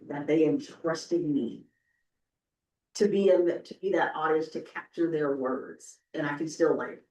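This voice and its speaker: A woman's voice: sits low, slight background noise. A woman speaking conversationally as an oral historian on a panel, emphatic and often checking in with "right?". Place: indoor event room. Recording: echoey room, distant microphone.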